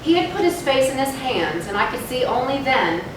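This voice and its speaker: A woman giving a measured, solemn dramatic narration of a gothic story in a stage reading. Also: high voice, very animated. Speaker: narrator in a readers' theatre. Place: live theatre stage, recorded from afar. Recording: very echoey, distant, noisy hall.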